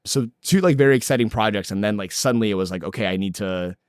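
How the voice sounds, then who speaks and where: Chatty low-voiced man in his late twenties. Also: fast, clean tone, dry recording, studio quality. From El Salvador. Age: late 20s. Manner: chatty, laughs often, lots of "like". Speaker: guest actor. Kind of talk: casual interview chat.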